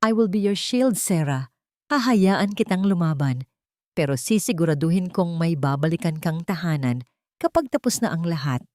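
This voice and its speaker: A woman's voice: fairly low pitch, expressive, normal speed, warm tone, no echo, clean studio sound, close to an everyday voice. A woman voicing a protective, intense man, devoted and solemn, in a dramatic audio story. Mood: tender, protective.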